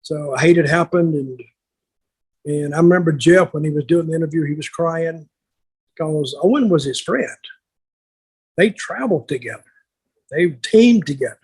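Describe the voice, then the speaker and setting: An older man with a husky voice telling stories casually on a podcast, blunt and plainspoken, halting and repeating words. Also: a little high, a little mellow. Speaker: podcast co-host, wrestling veteran.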